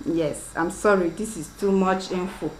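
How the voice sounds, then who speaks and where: A woman's voice: fairly low pitch, moderate pace. A young Nigerian woman with a Nigerian accent, chatting to viewers while she cooks in her home kitchen. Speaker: cooking vlogger. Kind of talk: casual cooking demonstration. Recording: echoey kitchen with clear background noise.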